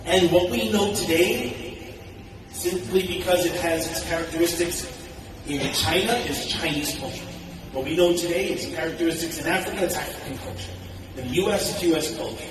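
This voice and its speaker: Man speaking in an echoey hall. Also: high-pitched. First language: English. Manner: enthusiastic, conversational, poses questions to the audience. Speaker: conference speaker. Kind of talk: public speech at a conference. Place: large hall. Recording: echoey hall recorded from a distance, audible noise.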